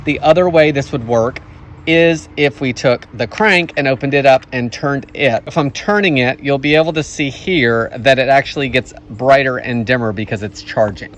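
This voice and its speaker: A man presents a product in a casual review style. His voice sits at a medium pitch for a man. He explains each feature plainly, one step at a time. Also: normal speed, slight background noise.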